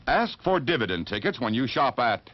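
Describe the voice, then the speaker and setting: A man's voice: mid-range voice, very animated, moderate pace. A man delivering a vintage movie theater promotional announcement as a cinema announcer. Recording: dull, worn old film soundtrack.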